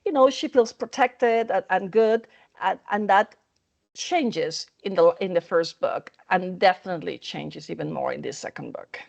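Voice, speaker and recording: A woman's voice: fairly low pitch, animated, moderate pace, gravelly, a little mellow, dull audio. A woman author answering interview questions, hesitant and repeating words as she finds them. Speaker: author guest.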